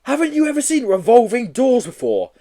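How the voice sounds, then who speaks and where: Plucky schoolboy, high and urgent, barking orders as a man puts him on; storybook reading. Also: huge pitch swings, fairly quick, mellow, heavily acted. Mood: urgent bossy. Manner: urgent, snappy, bossy. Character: bossy, plucky young boy on an adventure.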